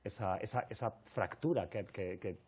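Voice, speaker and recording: A man with an accent from Spain hosting an interview, wordy and halting as he rephrases his questions. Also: lowish voice, darkish tone, breathy edge. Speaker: radio or TV show host. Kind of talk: live interview, introducing guests.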